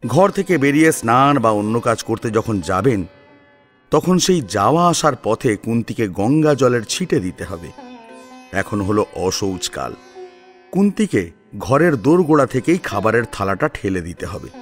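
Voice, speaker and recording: A man narrating a scripted story in an expressive, dramatic storytelling manner. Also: mid-range voice, normal speed, faint music behind. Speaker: narrator and co-presenter.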